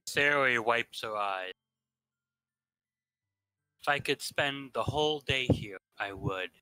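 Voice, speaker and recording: A man's voice: medium pitch, takes it slowly, bright, forceful tone, clear, resonant tone, no echo, faint music behind, poor audio. A man narrating a visual novel in an informal staged reading, adding asides of his own.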